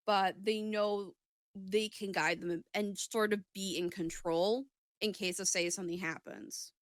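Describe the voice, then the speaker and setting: A woman with a medium-pitched voice in a dry, close recording, talking casually as she does an intuitive reading. She feels her way through each thought, halting and restating it in a tentative manner. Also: no echo. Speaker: psychic reader on a talk show.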